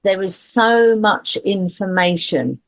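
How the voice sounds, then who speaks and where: Slow-talking woman host, dry sound. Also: medium pitch, lively intonation, no echo. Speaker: podcast host. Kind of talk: conversational interview.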